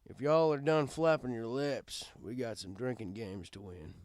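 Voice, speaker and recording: A man voicing a big, quiet, gallant cartoon stallion with drawling country phrasing. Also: medium pitch, moderate pace, no echo, clean studio sound, lightly acted. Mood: calm, steady. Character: big, quiet, folksy male red stallion, unhurried and gallant.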